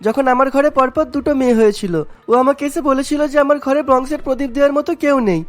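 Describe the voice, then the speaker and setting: A man's voice: extremely high pitch, a little fast. A man voicing an aggrieved, gossiping neighbour in a cartoon. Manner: bitter, gossipy. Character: aggrieved, gossiping neighbour, parent of two daughters. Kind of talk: cartoon dialogue. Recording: music bed behind the voice.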